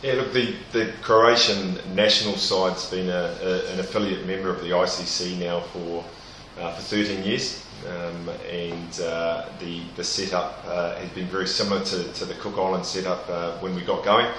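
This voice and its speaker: A man's voice: low-pitched, flat delivery, normal speed, fairly bright tone, slight background noise. A man, the team captain, answering interview questions hesitantly with many fillers. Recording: very echoey room.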